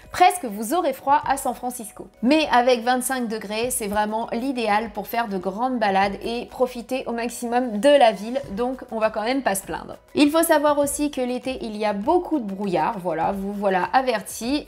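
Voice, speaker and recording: Woman in her thirties, travel vlogger, chatty and animated, lapel mic, home office, soft music underneath. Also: on the high side. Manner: lively, chatty, gesturing with hands. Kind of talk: travel tips vlog to camera. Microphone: clip-on lapel mic.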